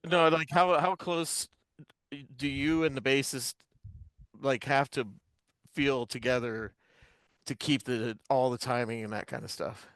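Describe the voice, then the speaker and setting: Man co-hosting a podcast, smooth clear voice at average pitch, chatting informally. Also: steady tone, unhurried, fairly bright tone, no echo. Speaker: guitar and bass player. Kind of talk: casual chat.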